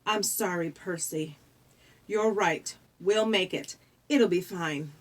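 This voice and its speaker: A woman's voice: on the low side, slow. A woman voicing a wry, earnest young girl adventurer in an audiobook, anxious but supportive. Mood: reassuring. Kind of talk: audiobook character voice.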